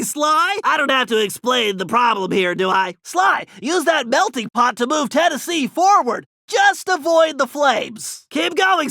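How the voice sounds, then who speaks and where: A man's voice: very high voice, vocal fry, dry recording, clean studio sound, heavily acted. An actor voicing a nerdy, fussy male turtle tech genius who cheers on his teammates in video game voice lines. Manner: brainy, fussy, encouraging, excitable.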